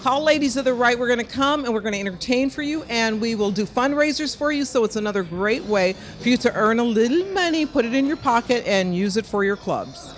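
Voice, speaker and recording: Joking woman with a fairly high voice. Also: expressive, fairly quick, few pauses. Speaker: guest entertainer. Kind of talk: interview answers and promotion.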